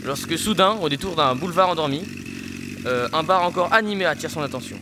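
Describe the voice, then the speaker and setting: A man's voice: fairly high pitch, brisk, background noise. A teenage man narrating a scripted audio drama in a storytelling style. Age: teens.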